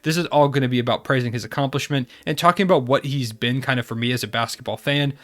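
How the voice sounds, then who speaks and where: A man's voice: mid-range voice, fast talker, a bit bright. A young man hosting a basketball recap channel, talking casually and enthusiastically while stuffy from a cold. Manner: enthusiastic, fan-like. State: congested from a cold.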